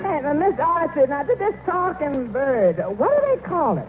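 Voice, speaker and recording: An actor playing a skeptical, wisecracking waiter who asks sly, innocent-sounding questions in a 1940s radio comedy. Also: male voice, very high-pitched, sing-song, normal speed, over loud music. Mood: sly, needling. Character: skeptical, wisecracking waiter, dryly needling his boss. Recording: noisy tinny old radio, audience behind.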